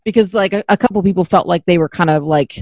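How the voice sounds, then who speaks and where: A woman's voice: lowish voice, fast, a little mellow, no room sound. A middle-aged woman hosting a podcast in casual chat, candid and self-deprecating, swearing freely. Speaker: podcast host.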